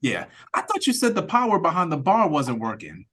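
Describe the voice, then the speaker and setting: A woman's voice: low voice, huge pitch swings, brisk, a bit bright, heavily acted. A woman playing a confused, nervous man in an audio play read-through recorded on a video call.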